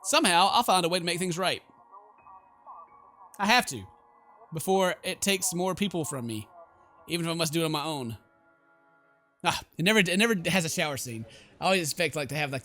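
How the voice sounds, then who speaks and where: A man with a fairly high, very bright voice hosts a horror game playthrough. He narrates the on-screen story dramatically and breaks into exclamatory commentary of his own. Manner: theatrical, exclamatory when reacting. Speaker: gaming video host. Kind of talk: horror game let's play, reading the story aloud with reactions.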